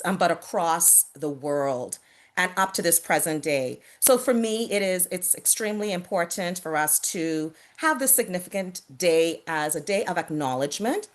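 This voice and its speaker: A middle-aged woman from the Bahamas speaking on a video call panel, earnest and measured, with frequent fillers and restarts. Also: fairly low pitch. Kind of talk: reflective talk on a virtual panel.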